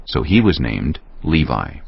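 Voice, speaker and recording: A man narrating scripture for an audio drama in a measured, solemn storytelling manner. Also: a bass voice, big pitch swings, vocal fry, husky, dry recording, highs cut off.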